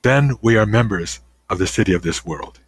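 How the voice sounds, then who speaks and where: An older man, a guest author, answering interview questions in a thoughtful, halting way with many restarts. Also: lowish voice, animated, slightly rough.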